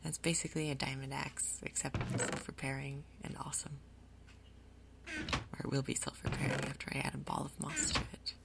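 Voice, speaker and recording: A woman giving soft-spoken ASMR game commentary in a breathy, gentle, unhurried way. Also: a bass voice, fairly even intonation, very noisy. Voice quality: breathy, whispery. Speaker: gaming video host.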